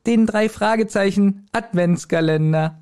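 A man's voice: high-pitched, measured pace, darkish tone, clean tone. A man co-hosting a podcast in casual, chatty conversation. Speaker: podcast co-host. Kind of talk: casual chat.

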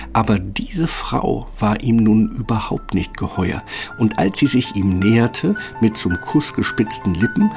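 A man's voice: lowish voice, vocal fry. A man narrating a scripted fairy tale as storyteller. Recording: music underneath.

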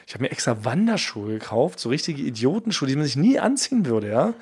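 A man's voice: mid-range voice, very animated, fast talker, a little mellow, husky. A man from Germany co-hosting a podcast in casual comic chat, playfully exaggerating and using colloquial slang. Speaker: podcast co-host.

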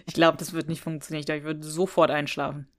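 Lowish-voiced woman chatting on a podcast. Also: little pitch movement, quick pace. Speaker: podcast co-host. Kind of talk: casual podcast chat.

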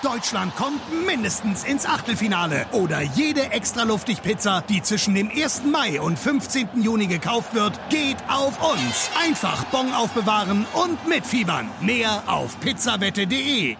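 A woman's voice: medium pitch, very animated, unhurried, vocal fry. A woman reading an upbeat scripted advertisement as an announcer, over music. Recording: loud music bed behind voice.

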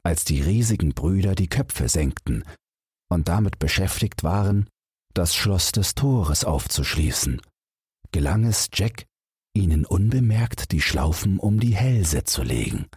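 A man with a deep, very breathy, husky voice narrates a bedtime fairy tale. He reads the scripted story at a slow pace, in a dry, close recording. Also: darkish tone, no echo. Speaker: audiobook narrator.

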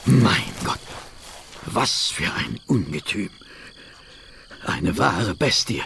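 A man playing a detective's shaken, incredulous gentleman companion in a German radio drama, speaking in a dramatic, awed way. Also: deep voice, big pitch swings, slow, gravelly, a little hiss or hum, full character voice. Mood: awed disbelief.

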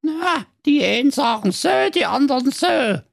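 A man's voice: very high-pitched, expressive, normal speed, creaky, no echo, clean studio sound, pitched above the performer's own voice, heavily acted. A man voicing a grumpy, crafty evil male dwarf in a comic fairy tale, grumbling and sly.

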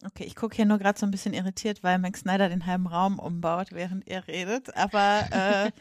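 Woman, casual podcast host, directing manner, middle pitch, dry sound. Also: steady tone, a little fast, no room sound. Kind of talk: casual moderating.

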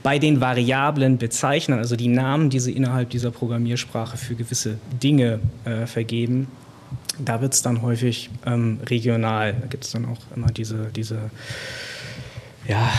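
A man on a discussion panel, explaining programming in plain terms. He hesitates often, with fillers and restarts, and reaches for everyday examples. His voice sits at a medium pitch. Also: a little mellow. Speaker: computer science guest.

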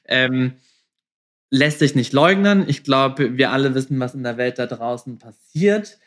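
A man with a medium-pitched voice hosts a casual interview podcast. His talk is colloquial and direct, addressing guest and listeners plainly with English business slang mixed in. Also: lively intonation, a little slow, a bit bright. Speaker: podcast host.

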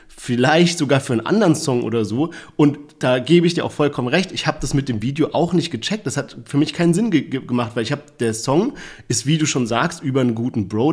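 A man's voice: fairly high pitch, darkish tone. A man co-hosting a podcast, chatting loosely about rap releases with plenty of fillers and hedges. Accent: Palatinate German. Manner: loose, filler-heavy, hedging. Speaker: podcast co-host.

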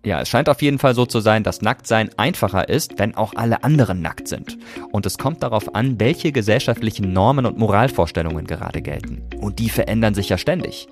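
A man's voice: fairly low pitch, animated, a little fast, faint music behind. A man, hosting a podcast with scripted narration. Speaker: podcast host.

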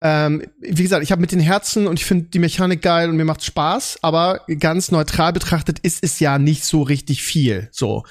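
A man's voice: a little high, moderate pace, few pauses. A man co-hosting a podcast, chatting informally with slang and tag questions as he puts questions to the others. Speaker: podcast co-host. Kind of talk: casual chat, posing questions.

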